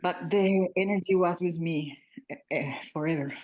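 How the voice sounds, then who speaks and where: Woman in her sixties, halting English. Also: on the low side, darkish tone, muffled recording. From Venezuela. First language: Spanish. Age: 60s. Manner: halting English, searching for words. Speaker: meditation group participant. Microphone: video call.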